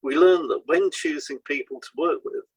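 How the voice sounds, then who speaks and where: A man co-hosting an educational podcast, reading scripted explanations in a measured, explanatory way. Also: a little high, husky, no echo, poor audio. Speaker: podcast co-host and hypnotherapist.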